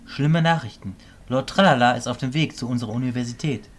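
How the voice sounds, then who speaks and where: A man playing a calm, serious, fatherly mentor mage in an audio drama, composed and matter-of-fact. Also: medium pitch, brisk, natural voice.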